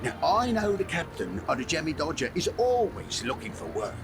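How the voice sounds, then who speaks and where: A man voicing a wheedling, shifty pawnshop dealer in a cartoon game, effusive and stammering with theatrical flattery. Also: on the high side, moderate pace, music in the background. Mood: flustered, sly. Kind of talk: scripted cartoon game acting.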